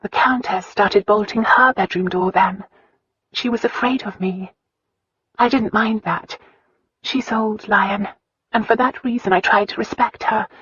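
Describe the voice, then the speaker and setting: Reader putting on a young woman, higher, soft and sincere, breathy, dull lo-fi sound. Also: fairly even intonation, a little slow, no room sound. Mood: earnest. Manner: soft, sincere, sometimes whispering. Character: young woman, gentle, earnest, wounded. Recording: dull lo-fi audiobook recording.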